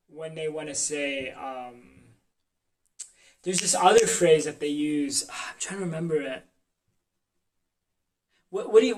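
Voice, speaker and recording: A man from Lebanon chatting casually and relaxed, swearing now and then. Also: a little high. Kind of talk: casual chat. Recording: distant from mic.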